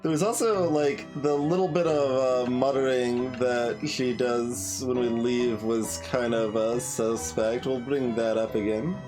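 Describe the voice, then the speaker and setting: Slow, musing male gamer over music. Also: medium pitch, fairly even intonation. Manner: hesitant, musing, trailing off. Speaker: host playing and commenting on a video game. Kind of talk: casual game commentary. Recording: music behind the voice. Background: game music.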